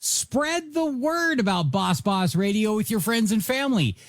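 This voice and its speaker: A radio DJ host with a playful, showy delivery, presenting in energetic music-radio patter. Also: medium pitch, measured pace, no echo, studio quality. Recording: music bed behind voice.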